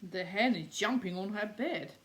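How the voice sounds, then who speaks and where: A woman reading a picture book aloud to young children, expressive, with questions and asides to her listeners. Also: mid-range voice, moderate pace. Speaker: children's story reader.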